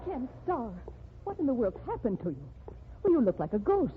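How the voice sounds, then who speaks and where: A woman's voice: on the high side, huge pitch swings, normal speed, slight background noise. An actress playing a wealthy, headstrong society woman in 1940s radio acting, earnest and emphatic. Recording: tinny old radio broadcast.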